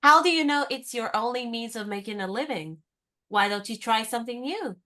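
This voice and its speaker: A woman playing a plucky, independent American in her twenties in a radio comedy, speaking in a brisk, forthright, encouraging way. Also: on the high side, animated, sharp tone. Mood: brisk, urging. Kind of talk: radio play comedy dialogue.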